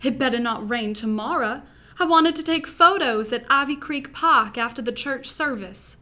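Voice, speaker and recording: A woman's voice: sits high, moderate pace, pushed and bright. A woman voicing a young Southern bride-to-be, 24, with a put-on American Southern accent, exclaiming and playfully scolding. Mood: excited.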